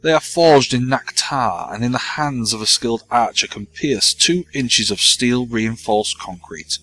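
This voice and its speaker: An actor playing an earnest, indignant male bishop with a husky voice. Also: medium pitch, a little slow, sharp tone, poor audio. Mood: explaining. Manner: earnest, accusing, explanatory.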